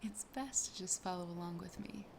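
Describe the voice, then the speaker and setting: Soft whispery possessive siren played by a woman. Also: on the low side, noisy background. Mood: playfully menacing. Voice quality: soft, breathy, often whispered. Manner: slow, teasing, intimate. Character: possessive, sassy, lonely female siren toying with her catch. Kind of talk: whispered ASMR roleplay.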